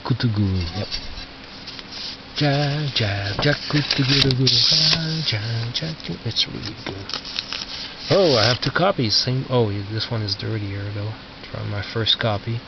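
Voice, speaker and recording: A man talks through his collection in a casual, halting way, muttering asides to himself as he goes. His mid-pitched voice sits back from the mic in a dull recording with very noticeable noise. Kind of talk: casual unscripted show-and-tell commentary. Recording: lo-fi, noisy, distant mic with clicks.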